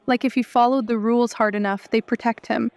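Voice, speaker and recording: An actress playing a grieving, candid young woman in an audio drama, speaking in a halting, earnest way. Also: fairly high pitch, steady tone, no echo, natural voice. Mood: earnest grief.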